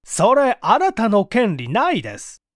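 A man voicing a sneering foreign thief who feigns innocence in halting, broken phrasing, in an audiobook story. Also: sits high, wildly swooping pitch, no echo, clean studio sound, pitched above the performer's own voice, heavily acted. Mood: protesting. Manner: halting, broken non-native Japanese phrasing.

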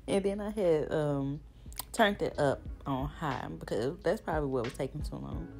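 A young woman, casually chatting as she cooks and narrating a voiceover in a relaxed, rambling way that often laughs at herself. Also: low-pitched, moderate pace. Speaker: vlogger. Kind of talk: casual cook-along chat and voiceover. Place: home kitchen, indoors. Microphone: camera on the counter. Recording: voiceover with clear background music.

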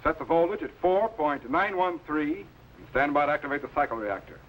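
A man's voice: a little high, a little fast, a bit bright, close to an everyday voice, low-quality recording. A man playing a dry, authoritative explorer captain in a 1950s sci-fi series, speaking precisely. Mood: commanding. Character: wry, authoritative male space explorer captain. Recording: vintage film soundtrack, faint noise.

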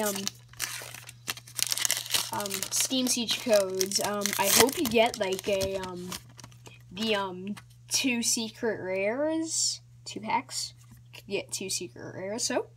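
A woman hosting a casual card-opening vlog, talking in a hesitant, rambling way with many ums and restarts, sometimes dropping to a soft whisper. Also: medium pitch, takes it slowly, long pauses, noisy background. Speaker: channel host.

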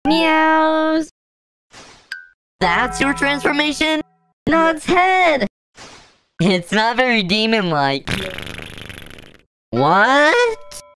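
A woman's voice: sits high, sing-song, extremely slow, long pauses, background noise. A woman doing playful comedic cartoon voice-over, reading action cues aloud as she switches between characters. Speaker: voice-over creator.

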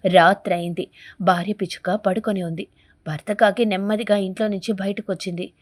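A woman with a medium-pitched voice tells a scripted fable. She works as the storyteller for an animated moral story. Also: a little fast, dry recording.

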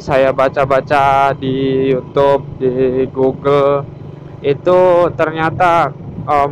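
A man chatting casually and chummily to viewers as a motovlogger, recorded outdoors while riding a motorbike. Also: fairly high pitch. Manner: addresses viewers chummily, often tagging phrases with "guys". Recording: noisy outdoor ride with engine and wind. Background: vehicle and outdoor noise.

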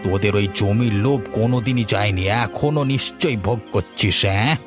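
A man's voice: lowish voice, moderate pace, faint music behind, lightly acted. A man voicing a spiteful, greedy village schemer in a cartoon, with a conniving, accusatory manner. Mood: menacing, accusing. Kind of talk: cartoon dialogue acting.

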